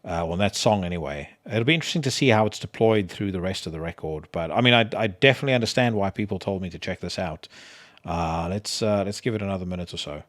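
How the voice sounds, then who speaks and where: Deep-voiced South African man rambling. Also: fast. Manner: swears freely, rambling with many fillers and restarts. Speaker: podcast host. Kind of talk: casual solo podcast chat.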